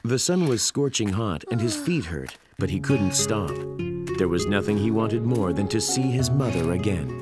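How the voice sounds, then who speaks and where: Male narrator for children's bedtime tale: lowish, animated delivery, loud music bed. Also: normal speed. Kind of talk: scripted children's story narration. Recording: prominent music behind the voice.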